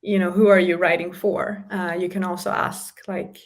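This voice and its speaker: A woman's voice: fairly low pitch, level tone, normal speed. A woman, a communication specialist, chatting in an interview and explaining practical tips with small laughs between points. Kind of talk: casual interview chat giving practical tips.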